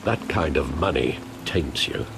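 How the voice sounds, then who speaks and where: An actor playing a loyal elderly male butler, speaking in a measured, formal, grave way. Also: a bass voice, expressive, creaky, background noise, full character voice. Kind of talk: video game cutscene acting. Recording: game audio with rain ambience. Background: rain and ambience.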